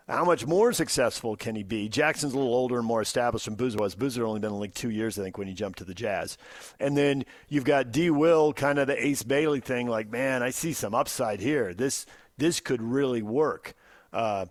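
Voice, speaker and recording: Guy doing sports radio talk, medium pitch, thinking out loud, really dry sound. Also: dry recording. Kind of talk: unscripted sports talk commentary.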